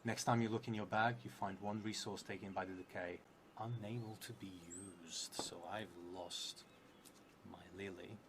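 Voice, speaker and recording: A man in his thirties, a non-native English speaker, playing a solo tabletop game, reading prompts and thinking aloud in a halting, muttering way. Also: lowish voice, steady tone. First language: not English; English is a third language. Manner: halting, muttering to himself while writing, clearer when reading aloud. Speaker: solo tabletop roleplay streamer. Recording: clearly noisy stream audio.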